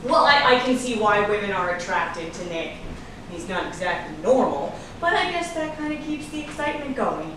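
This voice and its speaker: An actress in a staged reading playing a playful, affectionate, teasing wife. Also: female voice, mid-range voice, sing-song, normal speed, natural voice. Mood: curious, teasing. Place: staged reading in an echoey room. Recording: echoey room from far off, noisy.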